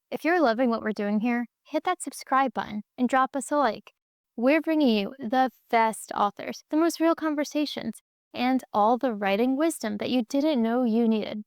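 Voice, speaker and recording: A woman's voice: a little high, no echo, clean studio sound. Upbeat woman reading a promo. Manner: upbeat, salesy. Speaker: show host. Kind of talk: scripted promo read.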